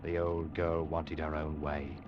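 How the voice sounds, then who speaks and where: An actor playing a young ship's officer who narrates his tale in a measured, grave way, in 1940s radio drama style. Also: rumbling low, level tone, noisy background. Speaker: narrator and first mate Ned. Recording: dull old radio recording with music.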